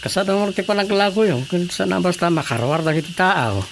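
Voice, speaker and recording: A man acting an easygoing villager in an Ilocano radio drama, with an Ilocano accent and a creaky voice. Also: sits high, brisk. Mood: casual, curious. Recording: steady background noise.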